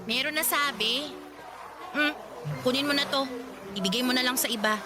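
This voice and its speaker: A woman's voice: high voice, normal speed, lightly acted. A woman playing a blunt, dismissive teenage schoolgirl in a radio drama, with curt, exasperated delivery. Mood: exasperated, rejecting. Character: blunt, irritable teenage schoolgirl brushing off her suitor. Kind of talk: Tagalog radio drama acting.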